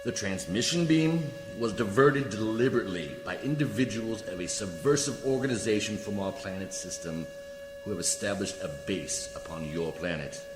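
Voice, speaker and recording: A man's voice: on the low side, normal speed, natural voice. Echoing reverberant hall sound, male actor as haughty alien bureaucrat, precise formal phrasing, music behind, radio play. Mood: official, cool. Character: condescending, coolly formal male alien judicial official. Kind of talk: radio play science fiction acting.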